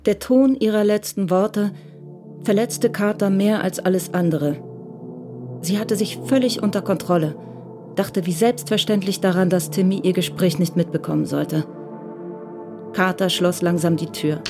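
A woman's voice: medium pitch, normal speed, over music. A woman reading scripted literary narration as the narrator of a radio crime drama.

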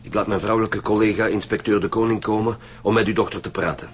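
An actor playing a businesslike chief inspector, probing and firm, with a Flemish accent in a radio drama. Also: male voice, fairly low pitch, fairly even intonation, fast talker, slight background noise, natural voice. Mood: firm, suspicious. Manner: formal, probing questioning. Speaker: actor in a radio crime serial.

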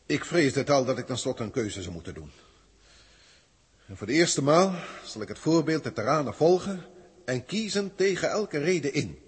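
Husky, echoing old alien mentor. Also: male voice, on the high side, big pitch swings, normal speed, full character voice. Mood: agitated, defiant. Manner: theatrical, formal archaic diction, brooding. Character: old emotional alien senior proctor, male teacher, wry. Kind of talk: 1960s radio drama acting. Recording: reverberant 1960s radio studio recording.

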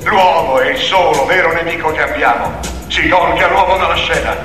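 An actor playing an imposing old boar, a solemn revolutionary orator giving an emphatic theatrical political speech full of rhetorical questions. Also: male voice, fairly high pitch, moderate pace, pushed and bright, recorded from a distance. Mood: impassioned, defiant. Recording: echoey hall from afar, music behind. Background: music.

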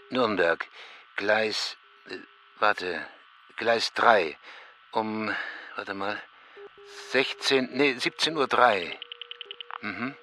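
East German man, writer, brooding, awkward, clipped halting phrases, slow pace, fairly low pitch, audio drama. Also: pushed and bright, slight background noise, exaggerated voice, rough recording. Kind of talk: literary audio drama acting.

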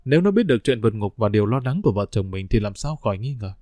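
A man voicing a calm, careful middle-aged husband and father with a measured delivery in a storybook reading. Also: no echo. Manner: low, measured, steadying.

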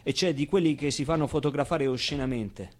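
An actor playing a naive, foul-mouthed, wistful orphan boy in an expressive theatrical monologue, with hesitant repetitions and pauses. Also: mid-range voice, lightly acted. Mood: frank, bitter. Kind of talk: theatrical monologue, storytelling to an audience.

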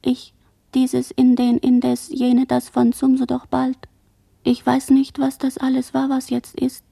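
A radio play monologue by a dazed girl shipwreck survivor. The voice is high and husky with a fry in it. Words come in halting, broken chains, as if barely conscious. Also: warm tone, full character voice. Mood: dazed, haunted. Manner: fragmented, halting word chains.

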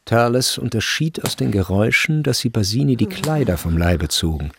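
A man's voice: medium pitch, expressive, normal speed, gravelly, background noise. A man reading scripted literary narration in a radio drama.

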